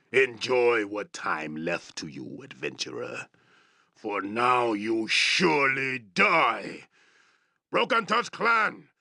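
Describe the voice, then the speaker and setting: An actor playing a savage, proud orc chieftain in a fantasy video game, growling threats in blunt, broken English. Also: male voice, lowish voice, bright, forceful tone, no room sound, studio quality, exaggerated voice. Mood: roaring, furious. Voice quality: gravelly growl. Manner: broken, blunt English with barked war cries.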